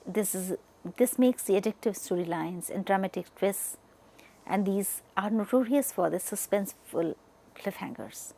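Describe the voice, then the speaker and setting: A woman with an Indian accent delivering a scripted lecture over slides in a deliberate, didactic manner, stressing the start of each point. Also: mid-range voice, expressive, vocal fry, dark tone. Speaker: media educator presenting a lecture.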